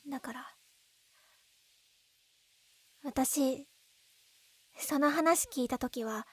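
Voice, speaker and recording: A woman acts out a gentle, lovesick young woman in a binaural audio drama. Her voice is high and breathy, often slipping toward a whisper. It is recorded very close and dry, with emotional, intimate delivery. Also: dry recording, full character voice. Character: lovesick young woman, gentle, tearful, pleading with childhood friend. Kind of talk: Japanese ASMR-style situation voice roleplay. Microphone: close binaural dummy-head microphone.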